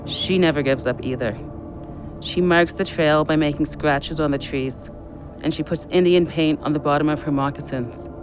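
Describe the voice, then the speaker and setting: An actor as a reckless, high-voiced wild man. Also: normal speed, noisy background, close to an everyday voice. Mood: brooding. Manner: excitable, insistent. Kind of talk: audio drama acting. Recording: music behind the voice.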